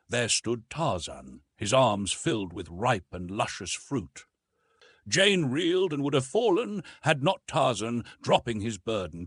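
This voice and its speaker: A man narrating a literary story from a script in an expressive, dramatic storytelling manner. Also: on the low side, slightly rough, no echo. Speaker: audiobook narrator.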